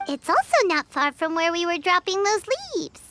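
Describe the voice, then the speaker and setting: A woman voicing a small, excitable, bossy childlike floating companion in a video game, with a squeaky, piping voice. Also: moderate pace, slight background noise. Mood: curious. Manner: exclamatory, refers to self in third person. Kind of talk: video game cutscene voice acting. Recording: music behind the voice. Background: game music.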